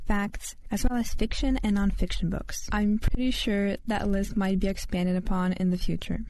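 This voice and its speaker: A teenage girl hosts her own podcast in English, her second language, with a Lithuanian accent. Her voice is soft and mellow, with a dark tone. She reads a prepared script in an earnest way. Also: mid-range voice, low-quality recording. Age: teens. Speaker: podcast host. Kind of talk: scripted solo podcast monologue.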